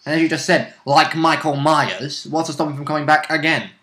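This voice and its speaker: A man's voice: a little high, fairly quick, sharp tone, roomy sound. A man chatting casually as a player and co-host of a tabletop game show, blunt and prone to swearing.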